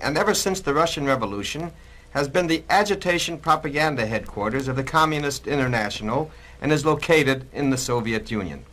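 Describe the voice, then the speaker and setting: A man delivers scripted narration in the style of a 1960s political documentary record. His mid-range voice swings widely in pitch. He is emphatic and admonishing, like an orator warning his listeners. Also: a bit bright, rough recording.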